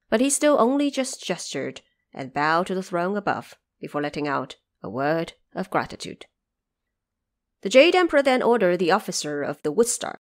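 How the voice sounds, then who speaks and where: A woman narrator telling an epic adventure story in a scripted, dramatic storytelling style. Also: on the low side.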